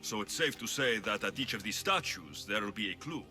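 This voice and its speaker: An actor playing a confident, sardonic ancient Greek mercenary hero in a video game, speaking in a wry, blunt way. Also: male voice, lowish voice, animated, fairly quick, bright, forceful tone, faint music behind. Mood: businesslike. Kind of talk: video game character acting.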